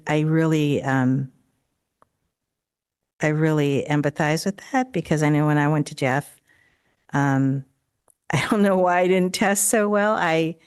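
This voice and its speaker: Low-voiced trustee woman, halting. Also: a little mellow. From Daly City, California. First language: not English. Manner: halting, many fillers and restarts. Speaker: school board trustee. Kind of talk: board meeting remarks. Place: district office board room.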